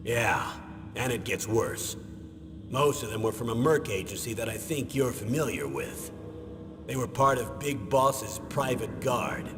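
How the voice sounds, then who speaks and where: An actor playing a grave military commander who gives a measured, authoritative mission briefing in a video game. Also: male voice, medium pitch, lively intonation, over music, natural voice. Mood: grave, informative. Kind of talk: dramatic video game voice acting. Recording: noisy, long hall-like reverb.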